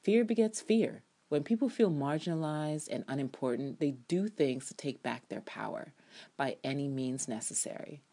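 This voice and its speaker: A grave widow of a politician , played by a woman reading aloud, delivers a public statement. Her voice is fairly low and her speechmaking is measured and solemn, in the manner of a televised address. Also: mellow. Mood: solemn, aggrieved.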